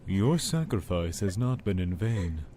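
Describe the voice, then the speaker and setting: A man playing a manipulative, falsely tender cult lord in an audio drama, speaking in deliberate, condescending, formal phrases. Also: sits low, big pitch swings, dark tone, a little hiss or hum.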